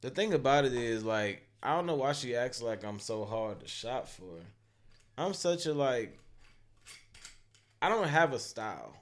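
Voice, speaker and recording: A man's voice: medium pitch, very animated, a little slow. A man co-hosting a podcast, chatting casually in a loose, profane, rambling way with lots of fillers. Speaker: podcast co-host.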